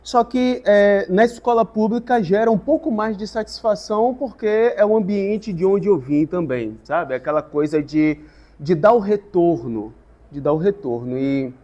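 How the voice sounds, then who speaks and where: A man, a guest teacher giving a thoughtful, halting interview answer with many restarts. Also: on the high side, dark tone, highs cut off. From Salvador, Brazil.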